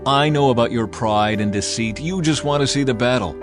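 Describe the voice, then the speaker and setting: A man's voice: mid-range voice, fairly quick, over music, lightly acted. A man doing an angry scolding older brother. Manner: scolding, accusing.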